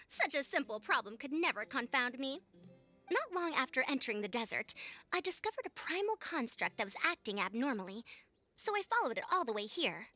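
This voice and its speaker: A woman's voice: high voice, big pitch swings, normal speed, pushed and bright, soft background music, full character voice. A woman voicing a proud, self-assured female scholar with haughty, old-fashioned wording in an anime game. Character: proud, youthful-sounding female senior scholar.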